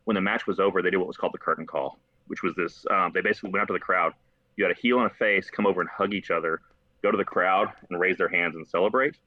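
An American man chatting casually and telling rambling, animated stories as a podcast host. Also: sits low, fast talker, dry recording. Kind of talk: casual conversational storytelling. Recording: slightly dull lo-fi, dry close sound.

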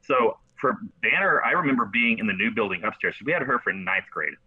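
Fast-talking American man, low bright voice. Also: expressive, breathy edge, no room sound, lo-fi sound. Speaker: podcast guest, entrepreneur. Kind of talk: casual chat.

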